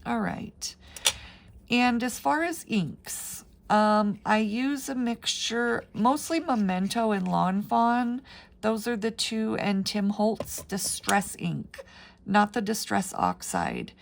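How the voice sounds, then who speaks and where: A middle-aged woman, raspy from the flu, chatting her way through a paper crafting tutorial with plenty of ums. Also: mid-range voice, lively intonation, takes it slowly, slight background noise. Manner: chatty, rambling with frequent ums. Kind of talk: casual step-by-step craft tutorial.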